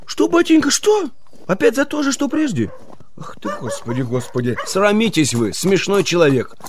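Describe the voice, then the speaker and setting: A man's voice: sits high, huge pitch swings, normal speed, noisy background. An actor playing an impatient, rude young man in a comic audio drama, brusque and blustering, repeating himself. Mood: irritated, dismissive. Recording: music under the voice.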